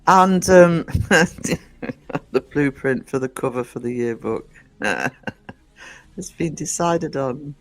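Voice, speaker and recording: A croaky man hosts a casual live stream from home, rambling along with plenty of ums and greeting viewers by name. His voice sits a little higher than most men's. Soft music plays faintly behind him. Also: extremely slow, pauses often, rough recording. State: croaky from just waking up. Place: indoors at home. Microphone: desk microphone.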